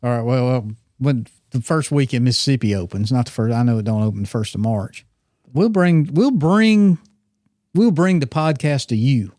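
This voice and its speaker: A man's voice: mid-range voice, lively intonation, moderate pace, dark tone, no echo, clean studio sound. A man around sixty, co-hosting a hunting podcast in casual, rambling, teasing chat. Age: 60s. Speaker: podcast co-host.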